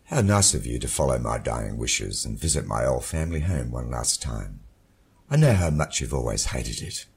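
Guy doing a mocking dead husband, super deep and raspy, lilting and cynical. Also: big pitch swings, moderate pace, heavily acted. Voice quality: warm, controlled, lilting. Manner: sardonic, taunting.

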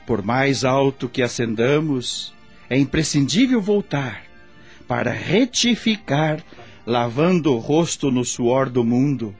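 An actor playing a gentle spirit healer in a Brazilian radio drama, speaking in a solemn, measured, preaching manner with a Brazilian accent. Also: male voice, mid-range voice, slightly rough. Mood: solemn exhorting. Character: gentle male spirit healer counselling a patient.

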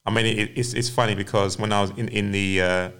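A man's voice: on the low side, steady tone, a little fast, fairly bright tone, clear, resonant tone. A male podcast host who reads a scripted introduction, then asks casual questions, often repeating words and saying "fair enough".

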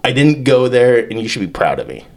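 A man's voice: mid-range voice, sing-song, a little fast. A man hosting a crude comedy podcast, swearing freely, joking and laughing often as he riffs. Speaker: podcast host.

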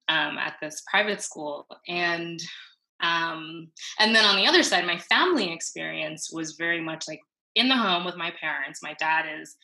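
A woman, talking as an interview guest. Her voice sits fairly low, with a gravelly fry and a bright, forward edge. She talks it through reflectively, pausing and restarting as she goes. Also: poor audio. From New York City. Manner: thoughtful, halting, with many fillers and restarts. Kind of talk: casual reflective conversation.

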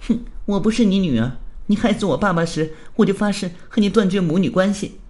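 A man's voice: high voice, quick pace, a little mellow, voice pushed up, close to an everyday voice. A man voicing a bold young female thief in an audio story, cold and defiant in manner. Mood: cold, bitter.

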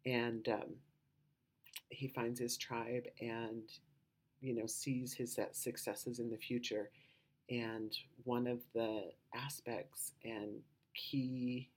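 A woman with a low voice hosting a podcast, talking in a rambling, casual way with lots of "you know" and "um" asides. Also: little pitch movement, takes it slowly, a little mellow. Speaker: podcast host.

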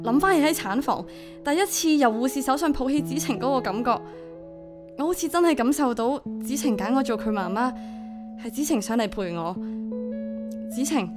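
An actress playing a devoted, vulnerable young single mother in a Hong Kong Cantonese audio drama, speaking in a heartfelt way. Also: sits high. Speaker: voice actor. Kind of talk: audio drama acting with first-person narration.

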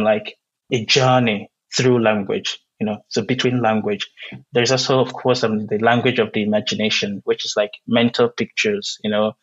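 A man from Nigeria, a poet whose first language is Igbo, speaking in an interview, pausing often with many "um"s as he searches for words. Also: mid-range voice, fairly bright tone, slightly rough, highs cut off. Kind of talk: reflective interview conversation.

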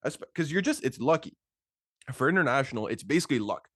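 A young man chatting casually about football as a podcast host, excitable and slangy with emphatic repetition. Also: medium pitch, fast talker, breathy edge, no room sound, clean studio sound. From Toronto, Canada. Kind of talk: casual sports chat.

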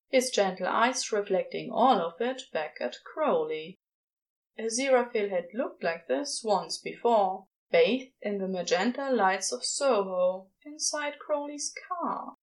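A woman reading a story aloud as a scripted fiction narrator. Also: medium pitch. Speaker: podfic narrator.

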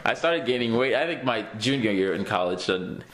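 A man's voice: medium pitch, animated, normal speed, fairly bright tone, roomy sound. A young man from Haiti, a guest in a casual interview, talking easily with many fillers and frequent laughs.

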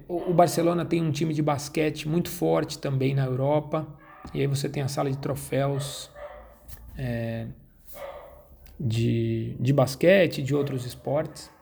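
A man's voice: on the high side, vocal fry, slight background noise. A man, hosting a learning channel and chatting casually to viewers about a trip. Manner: relaxed, chatty, with frequent tag questions. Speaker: host of a Portuguese-learning channel. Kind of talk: casual travel storytelling to viewers.

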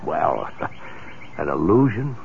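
Haunted man, defensive, agitated, very lively pitch, tinny noisy radio drama. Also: drawn-out words, gravelly, full character voice. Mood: uneasy, evasive. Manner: stammering, agitated. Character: rattled man haunted by visions, defensive and jumpy. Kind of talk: 1970s radio drama acting.

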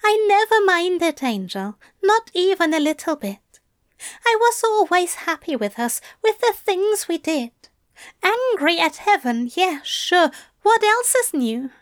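A tender, teasing male demon, voiced by a woman in a storybook reading. The voice sits high and swings widely in pitch, unhurried and coaxing. The sound is close and dry. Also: big pitch swings, no echo, heavily acted. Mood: tender reassurance. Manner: soft, coaxing, earnest. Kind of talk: audiobook fan fiction reading.

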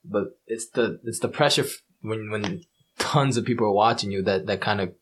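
A man in his twenties, a professional soccer player, chatting casually as a guest, relaxed and swearing freely. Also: on the low side, moderate pace, breathy edge. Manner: relaxed, swearing, animated when retelling. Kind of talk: casual chat.

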